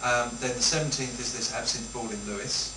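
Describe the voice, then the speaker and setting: A man's voice: medium pitch, steady tone, normal speed, recorded from a distance. A British man hosting a casual unscripted news chat, teasing and mock-grumbling as he goes. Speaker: host of an amateur news show. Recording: echoey room, off mic, noisy hum.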